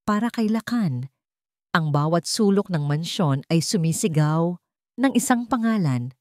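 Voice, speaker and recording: A woman's voice: on the low side, lively intonation, measured pace, darkish tone, no room sound, studio quality. A woman with a Filipino accent narrating a dramatic scripted story.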